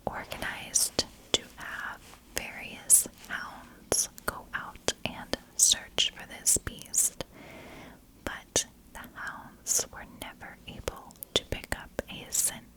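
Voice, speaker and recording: A woman narrating a story as ASMR in a soft, unvoiced whisper, speaking deliberately in short phrases. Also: rough recording. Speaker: ASMR storyteller, channel host.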